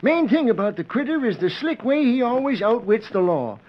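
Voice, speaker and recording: An actor playing a folksy, kindly small-town storekeeper with rustic phrasing in a 1940s radio western. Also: male voice, extremely high pitch, sing-song, moderate pace, full character voice. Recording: dull 1940s radio recording.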